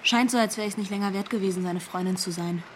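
A woman's voice: medium pitch, fast, close to an everyday voice. Actress playing frank wounded teen girl in radio play, bitter edge, light background noise. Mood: hurt. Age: young adult. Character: wounded, scornful teenage ex-girlfriend. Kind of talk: naturalistic radio drama, interview testimony.

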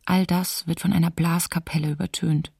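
A woman playing a resigned diarist chronicling occupation, husky and creaky, in a radio docudrama. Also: fairly low pitch, little pitch movement, moderate pace, darkish tone, no echo, studio quality. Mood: resigned, sombre. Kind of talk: radio docudrama diary reading.

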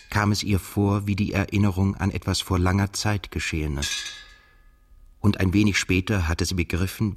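Man, deep voice, radio drama narrator, scripted literary storytelling, measured, sober.

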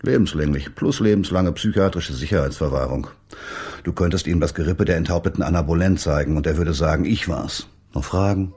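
Male police detective, crime radio play: weary cynic, gruff, rough low bass. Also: fairly quick, a little mellow. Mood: cynical, resentful. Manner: gruff, sardonic. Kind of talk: naturalistic radio play acting.

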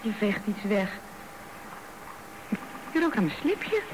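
A woman's voice: mid-range voice, big pitch swings, slow pace. An actress playing a candid, blunt wife in her thirties in a radio drama. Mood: indignant, challenging. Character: younger wife of thirty-five, candid and indignant. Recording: background noise on radio drama recording.

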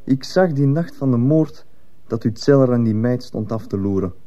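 A man's voice: mid-range voice, lively intonation, moderate pace, dark tone, dull audio, close to an everyday voice. A man playing a cold, defiant teenage schoolboy in a radio drama, speaking curtly and defensively. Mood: defiant, accusing. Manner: curt, defensive, insolent. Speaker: actor in a radio play. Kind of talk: Dutch-language radio drama acting.

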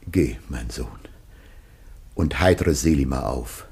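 A man's voice: a bass voice, expressive, slow, gravelly, darkish tone, husky, full character voice. An actor playing the aged first man, anguished and awaiting death, in solemn, declamatory radio-play style. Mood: sorrowful, grave. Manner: grave, declamatory, archaic verse-like diction. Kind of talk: solemn classical German radio play acting.

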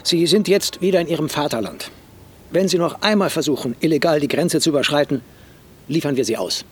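An actor playing a stern, unyielding Belgian border gendarme in a radio drama, curt and official with a husky voice. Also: male voice, fairly high pitch, fairly quick, close to an everyday voice.

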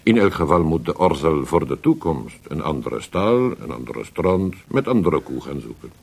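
An actor playing a worldly, scheming Italian poet in a radio drama, with an Italian accent, a gravelly, husky voice and a theatrical, halting delivery sprinkled with Italian words. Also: male voice, extremely low pitch, big pitch swings, heavily acted. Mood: resigned, bitter.

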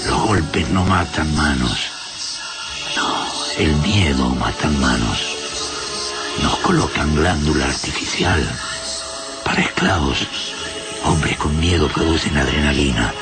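An actor playing a dying, sorrowful alien slave in a radio drama, speaking in broken, halting fragments. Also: male voice, very deep voice. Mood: pained, fearful. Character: dying male alien slave, gentle, sorrowful, haunted by fear. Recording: loud music under the voice.

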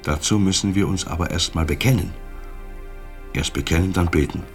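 An actor in a radio play voicing a gentle but admonishing pastor, with a patient, preaching delivery. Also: male voice, low-pitched, normal speed, soft background music. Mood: solemn, admonishing. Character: gentle, admonishing male Protestant pastor, as retold. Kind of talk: quoted figure in radio play.

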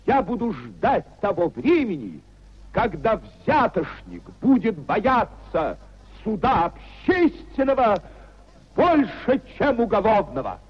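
An old, muffled radio theatre recording carries a proud young idealist. His voice is high and swings widely as he declaims with earnest, anguished conviction in the grand 1950s stage manner. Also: breathy edge, exaggerated voice. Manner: declamatory, impassioned oratory.

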